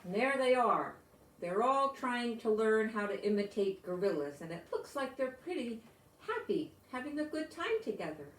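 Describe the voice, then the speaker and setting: A woman's voice: a little high, very animated, normal speed, slight background noise. An older woman reads a children's story aloud, adding simple explanatory asides for young listeners. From United States. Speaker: storybook reader. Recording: roomy echo, distant microphone.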